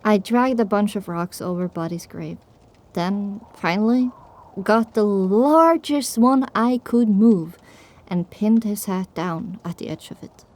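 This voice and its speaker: Dark, mellow female story narrator. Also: medium pitch, slow. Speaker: audiobook narrator. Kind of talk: scripted first-person story narration.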